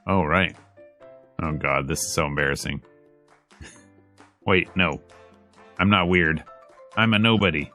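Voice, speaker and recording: Low-voiced man reading a game aloud. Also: slow pace, pauses often, bright, forceful tone, soft background music. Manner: casual, slangy, reads narration and dialogue aloud. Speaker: streamer reading a visual novel aloud. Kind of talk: casual let's play read-aloud.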